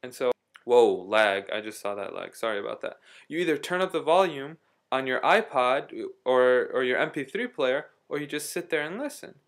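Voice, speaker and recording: A man's voice: medium pitch, animated, moderate pace. A man talking casually in a video diary, rambling through a story with lots of ums and restarts. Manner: rambling, chatty, many fillers and restarts. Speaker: vlogger. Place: living in Istanbul.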